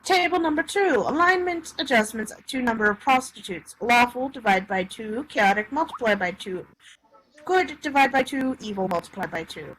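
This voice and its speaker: Woman, higher-pitched, sharp bright tone, casual rulebook read-aloud, halting delivery, noisy background. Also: expressive. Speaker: reader of a tabletop game supplement. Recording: clear background noise.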